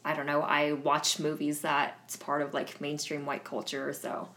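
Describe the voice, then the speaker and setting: A young woman reflecting on her childhood in a discussion, in a steady way. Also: low voice, unhurried. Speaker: guest. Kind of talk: personal storytelling in a discussion.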